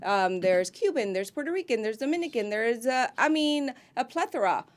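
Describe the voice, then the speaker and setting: A woman who grew up in Miami, an actress guest chatting in an interview, warm and effusive, with frequent ums and restarts. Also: on the high side, lively intonation, normal speed. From grew up in Miami, United States. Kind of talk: casual interview chat.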